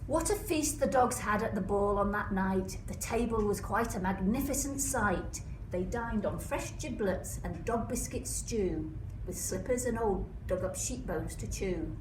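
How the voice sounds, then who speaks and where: Female storyteller, teacher-like, expressive rhyming read-aloud. Roomy, off-mic sound with clear background noise. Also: medium pitch, unhurried. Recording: echoey room, distant mic, noisy background.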